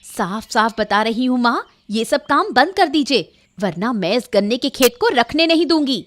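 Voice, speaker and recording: A blunt, practical village wife played by a woman for a cartoon story. Her voice is fairly high and moves up and down a lot. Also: sing-song, moderate pace. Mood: scornful, nagging. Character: nagging, practical village daughter-in-law and wife.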